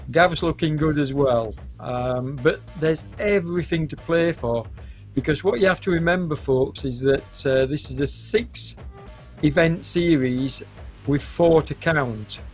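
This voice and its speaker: A man with a cold commentating a live esports cycling race, hesitant and full of fillers, with a hoarse voice and occasional coughs. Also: a little high. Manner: hesitant, many fillers, unhurried.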